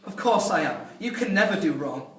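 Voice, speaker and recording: A man's voice: high voice, animated, fairly quick, a bit bright, faint music behind. A man in an amateur drama reading, playing a hurt, angry young man with a bitter, accusing manner. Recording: roomy, distant microphone.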